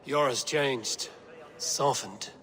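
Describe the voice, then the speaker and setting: Steadfast, stern Viking raider. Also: male voice, mid-range voice, drawn-out words, bright, forceful tone. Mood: calm, resolute. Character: steadfast, plain-spoken adult Viking raider, stern and determined. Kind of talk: dramatic video game acting. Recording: clear music underneath.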